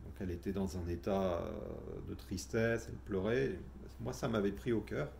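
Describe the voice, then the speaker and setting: A man's voice: lowish voice, moderate pace, vocal fry, a little mellow, slight background noise. A middle-aged man telling his personal story in a casual, hesitant way, with many fillers and restarts. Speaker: vlogger telling his spiritual journey.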